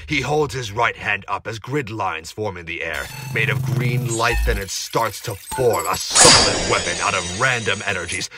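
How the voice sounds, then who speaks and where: A man giving dramatic scripted narration of an action story in an amateur audio drama. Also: lowish voice. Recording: noisy amateur recording with heavy background noise.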